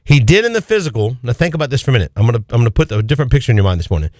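A male pastor preaching with a lively voice that leaps up and drops low as he drives each point home. The sound is dry and close, with no room echo. His pitch sits in the middle for a man. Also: rapid-fire. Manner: preaching with animated, swinging emphasis. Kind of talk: sermon.

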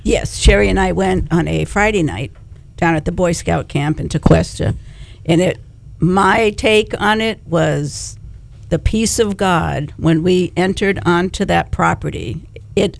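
A woman's voice: fairly low pitch, measured pace, vocal fry. A woman giving a casual, devout testimonial on a radio show, repeating phrases as she goes. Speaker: guest sharing a church event experience.

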